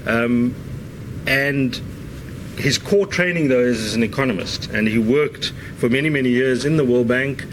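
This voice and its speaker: A man's voice: mid-range voice, normal speed, background noise. A man hosting a university seminar, introducing the guest in a conversational way with many fillers. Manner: conversational, frequent uh fillers and "right?" tags. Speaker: seminar host.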